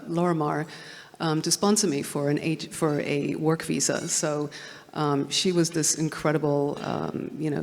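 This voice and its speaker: A woman from Hong Kong speaking on a panel, halting with many fillers and restarts. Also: sits low, creaky. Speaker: panelist, studio distribution president. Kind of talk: panel discussion. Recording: long hall reverb.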